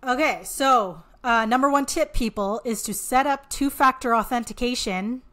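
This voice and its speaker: A woman's voice: a little high, normal speed, a bit bright. A woman with a Canadian accent talking casually as an interview guest, explaining and often restarting her sentences mid-thought. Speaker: podcast guest, author and marketing entrepreneur.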